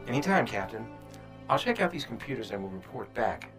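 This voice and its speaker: Wry, kindly ship's doctor played by a man, mid-pitched and animated, expressive muttering, sci-fi audio drama. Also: fairly quick, creaky, over music.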